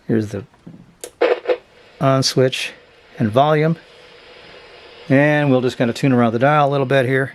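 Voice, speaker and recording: A man with a medium-pitched voice hosts a casual product review. He talks off the cuff in a halting way, often hedging and qualifying what he says in an offhand manner. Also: steady tone, a little hiss or hum, poor audio. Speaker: host of a vintage radio review channel. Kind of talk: casual unscripted product demonstration.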